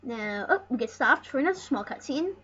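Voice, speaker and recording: Female let's play host, higher register, rambling and jokey, sweary at times, on a dull, slightly noisy mic. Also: lively intonation, a little fast, sharp tone, slightly rough. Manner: joking, rambling, casually sweary. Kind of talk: casual gameplay commentary, reading game dialogue aloud. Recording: muffled lo-fi mic with background noise.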